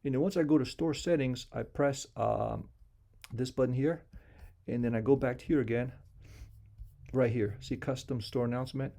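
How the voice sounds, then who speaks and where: A man walks through software settings in an informal, unscripted way. His voice is mid-pitched and relaxed, with a noticeable creak. He explains each step simply and often repeats himself. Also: normal speed, long pauses. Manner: simple, repetitive step-by-step instruction. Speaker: software tutorial presenter. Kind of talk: casual unscripted screen-share walkthrough.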